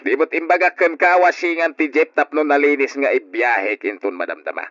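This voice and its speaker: An actor with an Ilocano accent playing a harsh, middle-aged uncle who nags gruffly in old radio drama style. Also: male voice, fairly high pitch, normal speed, a bit bright, dry recording, lightly acted. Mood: scolding. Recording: dull old radio recording.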